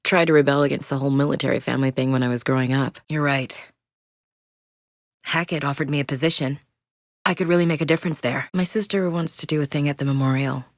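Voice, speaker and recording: A woman's voice: a bass voice, fairly even intonation, fast, no echo. An actress voicing a tough, loyal woman soldier in a sci-fi video game, talking frankly and steadily in a military way. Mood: steady. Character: tough female human soldier, blunt and loyal. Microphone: close studio mic. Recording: dry studio recording.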